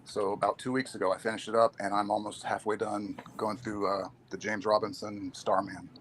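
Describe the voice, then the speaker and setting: Measured middle-aged man with a low voice. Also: level tone, a bit bright, a little hiss or hum. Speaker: guest. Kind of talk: casual podcast chat.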